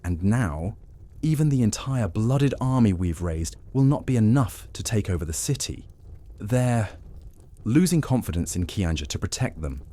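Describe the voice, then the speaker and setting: A man playing a dark-voiced scheming vampire advisor. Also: fairly low pitch, big pitch swings. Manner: measured and persuasive, dodging questions. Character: old male vampire advisor, evasive and scheming. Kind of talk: audio drama acting.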